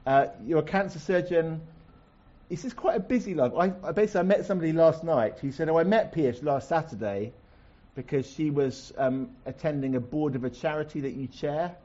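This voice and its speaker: A man hosting a chat-show-style live event, introducing and interviewing a guest with chatty, halting phrasing. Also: fairly high pitch, mellow, highs cut off. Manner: chatty, halting, many restarts. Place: stage before a live audience.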